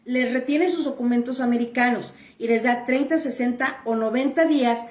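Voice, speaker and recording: A woman with a somewhat high voice answers viewer questions about immigration papers. She is firm and blunt and keeps repeating her key points. The recording has a roomy echo. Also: moderate pace, recorded from a distance. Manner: firm, blunt and repetitive, stressing points plainly. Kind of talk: direct-to-camera advice video.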